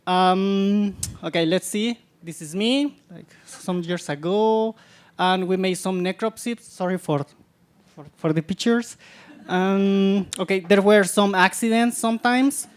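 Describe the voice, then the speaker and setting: A man from Guatemala with a Guatemalan accent giving a public science talk, halting often and repeating words as he searches for them. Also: high voice, clean tone. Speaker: guest lecturer, scientist.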